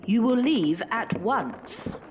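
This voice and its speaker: A woman's voice: a little high, expressive, slow, background noise. A woman playing a stern, cold older mother in an audio drama, severe and formal in manner. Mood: stern, dismissive. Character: stern, cold, secretive Victorian mother of a grown son.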